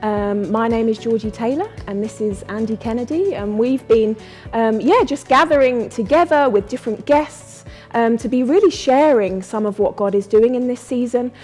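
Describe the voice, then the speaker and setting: A woman's voice: on the high side, moderate pace, few pauses, a little mellow, soft background music. A woman hosting an interview, speaking casually with many fillers and encouraging interjections. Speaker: podcast host.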